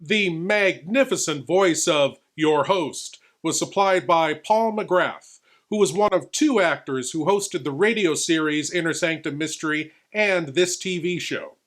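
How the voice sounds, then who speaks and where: A man with a slightly high voice presents as a horror host. His scripted commentary is measured and explanatory, with a theatrical touch. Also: fairly bright tone.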